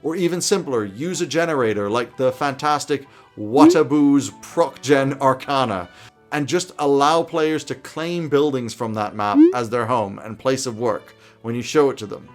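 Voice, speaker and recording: A man's voice: medium pitch, moderate pace, soft background music. A man in his thirties presenting scripted game master advice to camera from a small home office. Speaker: YouTube host giving game master tips.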